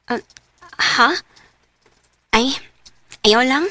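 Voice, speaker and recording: An actress with a Visayan Filipino accent playing a headstrong, rebellious young daughter in a radio drama. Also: female voice, a little high, steady tone, drawn-out words, bright, forceful tone, dry recording, full character voice. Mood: shy, friendly.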